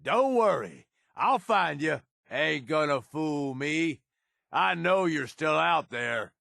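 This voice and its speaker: A middle-aged game raider lieutenant, crude and scheming. His voice is gravelly and unhurried, rising sharply when he barks orders in a fight. The recording is dry and close, with no room sound. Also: fairly high pitch, animated, bright, forceful tone, no room sound, full character voice. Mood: combat barks. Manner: gravelly, crude and sardonic, tough-guy drawl. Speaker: companion character. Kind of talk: video game combat and companion barks.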